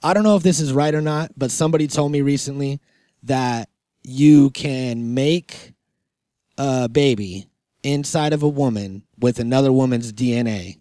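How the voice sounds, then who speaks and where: A man's voice: medium pitch, normal speed, mellow, no echo, clean studio sound. A man hosting a comedy podcast in casual chat, joking, profane and rambling. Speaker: podcast host.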